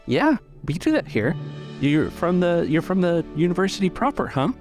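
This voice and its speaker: A man's voice: sits high, very animated, moderate pace, a little mellow, soft background music, voice pushed up. A man voicing a guarded, questioning woman secretary at a scholars' club in a tabletop game.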